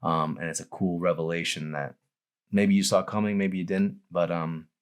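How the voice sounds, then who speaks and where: A man's voice: deep voice, fairly even intonation, moderate pace, smooth. A man reading his own novel aloud as a dramatic audiobook narration.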